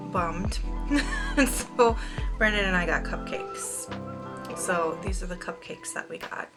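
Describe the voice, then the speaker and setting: A woman book vlogger chatting casually to camera in informal, slangy language. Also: lowish voice.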